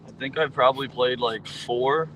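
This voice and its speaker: A man's voice: mid-range voice, moderate pace, a bit bright, slight background noise, poor audio. A man in his late twenties, a guest on a podcast, answering in a hesitant way with many ums and you knows. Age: 20s. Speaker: guest, pro roller hockey player. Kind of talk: casual interview chat.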